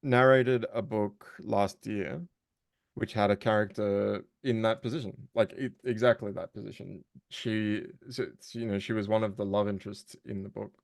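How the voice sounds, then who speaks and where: A man's voice: lowish voice, steady tone, moderate pace, gravelly, no room sound, clean studio sound. A man who narrates audiobooks and does voice work, chatting casually in an interview and thinking aloud with frequent fillers and restarts.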